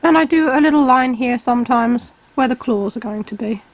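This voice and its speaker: A woman, calmly explaining each step of a drawing tutorial while she sketches. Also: fairly high pitch, a little fast, no echo, highs cut off. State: has a cold, slightly hoarse. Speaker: art tutor.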